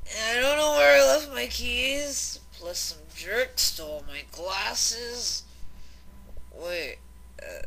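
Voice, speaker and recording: Woman acting a slow, drunk unicorn party girl. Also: mid-range voice, pushed and bright, heavily acted, poor audio. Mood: whiny, plaintive. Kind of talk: acted voicemail monologue in fan fiction reading.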